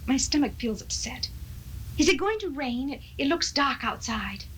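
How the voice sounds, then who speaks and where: A woman's voice: sits high, lively intonation, normal speed, fairly bright tone, slight background noise. An actress playing a brittle, defensive woman accused of a crime, in a 1970s radio drama. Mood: tense, weary. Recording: 1970s radio recording, music behind.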